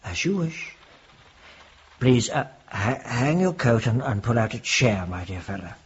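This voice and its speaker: An actor playing a gentle elderly vicar in a radio drama, husky and reassuring, with lively intonation. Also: male voice, medium pitch, a little slow, lo-fi sound, heavily acted. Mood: soothing, kindly. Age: older. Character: kindly elderly retired vicar with failing sight.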